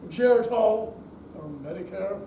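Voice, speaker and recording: Slow older woman, far from the mic. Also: mid-range voice, dark tone. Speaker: amateur readers theater performer. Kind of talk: spoken recitation of song lyrics. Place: indoor senior center room, live performance. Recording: roomy, distant mic, noisy hall.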